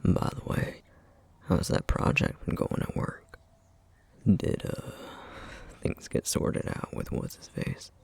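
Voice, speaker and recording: A man playing a tender, teasing single-dad boyfriend in an intimate ASMR roleplay, with a husky, half-whispered, affectionate murmur. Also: slow pace, close to an everyday voice. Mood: curious, sardonic. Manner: soft, hesitant, affectionate murmuring with soft chuckles. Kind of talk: ASMR roleplay, intimate one-sided dialogue to listener.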